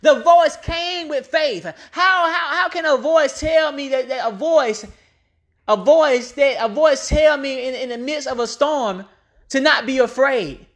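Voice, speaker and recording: A woman's voice: high-pitched, a little slow. A woman preacher delivering an impassioned, off-the-cuff sermon, repeating phrases emphatically to build up her point.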